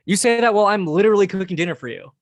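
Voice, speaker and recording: A woman's voice: mid-range voice, very fast, close to an everyday voice. A woman reading the part of a supportive boyfriend who is a doctor, eager at first and then hurt and stammering.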